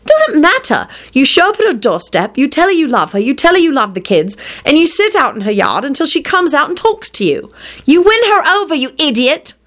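A woman's voice: on the high side, very animated, normal speed, few pauses, a bit bright, voice pushed up. A woman voicing an exasperated, bossy young woman who scolds her twin brother in an emphatic, snapping way. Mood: exasperated, urging.

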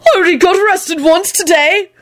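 A woman voicing a jubilant, reckless, rebellious man in an audiobook, excitable and gleeful. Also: very high-pitched, a little fast, dry recording, voice pushed up. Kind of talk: audiobook character dialogue.

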